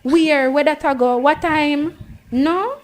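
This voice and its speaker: A high-pitched woman in her late twenties, arguing her side in a relaxed group debate. She talks emphatically, circles back to repeat herself, and tells her point as a story. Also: slow pace, crowd noise. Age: late 20s. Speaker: panel guest.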